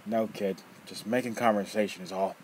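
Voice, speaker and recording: A man voicing a rough, taunting armless coffin maker in an audiobook reading. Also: on the low side, steady tone, normal speed, slight background noise, close to an everyday voice. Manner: rough, taunting, bursts of laughter. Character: armless gruff male coffin maker, mocking and menacing.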